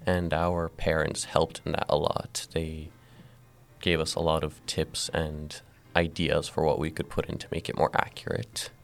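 Young guy with a super deep gravelly voice explaining stuff calmly. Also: measured pace. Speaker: guest, comic book co-creator. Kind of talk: interview answers.

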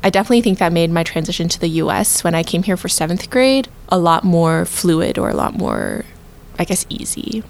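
Young woman, 20s, vocal fry, reflective, casual filler words. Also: lowish voice, wildly swooping pitch, fairly quick. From China. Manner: reflective, frequent "like" and "sort of". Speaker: interviewee, college student. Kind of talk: reflective personal talk.

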